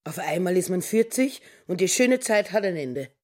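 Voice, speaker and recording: Husky, indignant middle-aged wife. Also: female voice, on the low side, lively intonation, moderate pace. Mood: lamenting. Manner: gossipy, complaining. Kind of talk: radio play acting.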